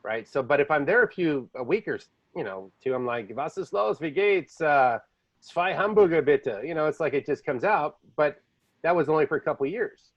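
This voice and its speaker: A man's voice: on the high side, animated, normal speed, dry recording. Rambling, chatty man with a muffled sound. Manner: rambling storyteller, jumps between languages. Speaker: podcast host. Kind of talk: casual conversation.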